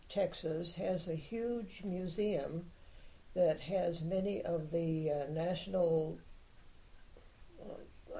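Slow, mellow-voiced older woman reminiscing. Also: on the low side, gravelly, poor audio. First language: Czech. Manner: unhurried reminiscing, plainspoken opinions. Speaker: interviewee, Czech heritage club member. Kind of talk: oral history interview.

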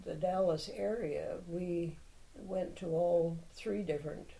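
A woman's voice: fairly low pitch, slow pace, vocal fry, mellow, rough recording. An older woman whose first language was Czech, unhurriedly reminiscing in an oral history interview and giving plain opinions. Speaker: interviewee, Czech heritage club member.

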